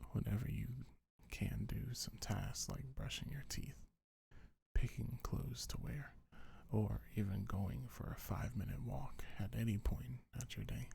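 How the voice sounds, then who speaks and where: A man speaking gentle, soothing ASMR affirmations in a breathy, husky half-whisper, unhurried and close to the microphone. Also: on the low side. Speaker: ASMR creator. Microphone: close microphone, dry.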